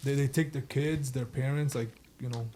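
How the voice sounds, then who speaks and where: A man's voice: medium pitch, fairly even intonation, normal speed, mellow, slight background noise. A man in his thirties from the Chicago suburbs, a party promoter chatting casually and switching between English and Spanish. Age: 30s. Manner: mixes English and Spanish, laughs often. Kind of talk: casual podcast chat.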